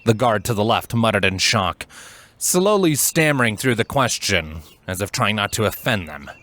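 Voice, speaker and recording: A man with a fairly low voice reads as a storyteller. His delivery is measured and articulate. He narrates scripted prose with expression. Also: sing-song, a bit bright. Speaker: fan fiction narrator and voice actor.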